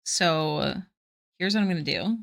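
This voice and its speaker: A woman's voice: deep voice, a little slow, creaky, fairly bright tone. A young woman chatting casually with viewers while drawing on a live stream, giggly and self-deprecating.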